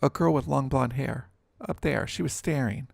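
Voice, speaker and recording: Man voicing an anxious, pleading young girl, pitched up. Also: moderate pace, gravelly, no room sound, studio quality. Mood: uneasy, insistent. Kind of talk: audiobook character dialogue.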